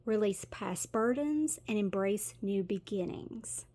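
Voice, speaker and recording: A woman's voice: medium pitch, lively intonation, takes it slowly, warm tone. A middle-aged woman with a Tennessee Southern accent, chatting her way through a tarot reading with lots of fillers and giggles. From Tennessee, USA. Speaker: tarot reader. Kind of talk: casual tarot reading to camera.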